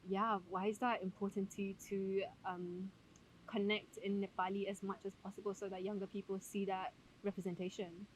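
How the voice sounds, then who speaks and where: A woman's voice: mid-range voice, level tone, moderate pace, slight background noise, low-quality recording. A woman hosting a casual interview podcast, talking in a chatty way with frequent fillers. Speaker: podcast host.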